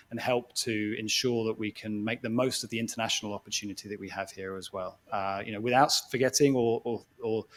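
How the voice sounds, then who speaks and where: Exec, 40s, flat and steady delivery, hesitant, conversational talk to a crowd. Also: male voice, on the low side. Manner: reflective, hesitant, restarts phrases. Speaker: guest speaker, sports executive. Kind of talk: conversational talk to a live audience.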